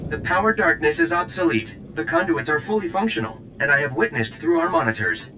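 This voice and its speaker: A man's voice: sing-song, fairly quick. A man voicing an alien ambassador droid in a video game, formal and stilted, with oddly cryptic phrasing. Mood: formal, declarative. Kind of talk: video game character acting. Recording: clear rumbling noise behind.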